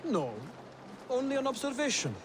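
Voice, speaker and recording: An actor playing an earnest, devout assassin scholar in a video game, speaking in a formal, measured and solemn way. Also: male voice, very high voice, big pitch swings, background noise. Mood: candid, subdued. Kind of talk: scripted dramatic game dialogue.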